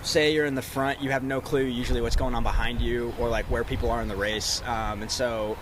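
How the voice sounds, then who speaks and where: A man's voice: medium pitch, brisk, background noise. A young man who grew up in the Dallas suburbs, a college runner chatting casually in a press interview, with plenty of fillers and restarts. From Texas, Dallas suburbs. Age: young adult. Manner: relaxed, many fillers and restarts.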